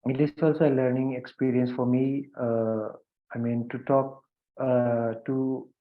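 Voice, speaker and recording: A man from India speaks English with an Indian accent, pausing often with fillers and restarting his sentences. His voice sits at a middle pitch. The audio is thin and phone-like, as through a video call connection. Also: little pitch movement. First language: Hindi or a regional language of India. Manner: hesitant, many fillers and restarts. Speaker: guest speaker. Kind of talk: video conference remarks.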